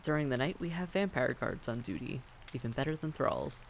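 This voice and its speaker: A man's voice: medium pitch, brisk, creaky, a little hiss or hum, natural voice. A man playing a friendly, reassuring vampire innkeeper in a fantasy audio roleplay, speaking courteously and conversationally to the listener.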